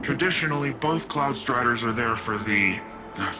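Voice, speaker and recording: An actor playing a brash, restless young warrior in a video game, talking in an upbeat, cocky, joking way over loud game music. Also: male voice, medium pitch, lively intonation, close to an everyday voice. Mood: eager. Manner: upbeat, cocky, quick to joke.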